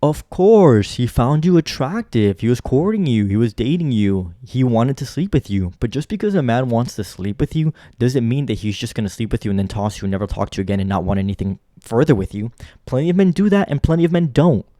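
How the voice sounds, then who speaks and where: A man's voice: mid-range voice, big pitch swings, a little fast, dark tone, no room sound, clean studio sound. A man reacting to a clip in blunt commentary, lecturing and repeating his points for emphasis.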